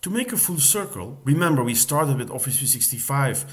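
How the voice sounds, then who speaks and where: A man's voice: mid-range voice, very animated, brisk. Man narrating scripted demo links.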